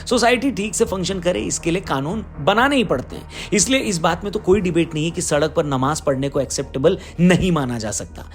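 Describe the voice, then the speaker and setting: High-pitched man presenting. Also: lively intonation, brisk, hardly any pauses. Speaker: host of an explainer video channel. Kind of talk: scripted news explainer commentary to camera.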